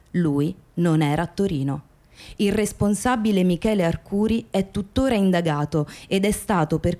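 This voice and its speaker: A woman narrator reading a scripted documentary account for radio theatre in a measured, formal manner. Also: lowish voice, mellow.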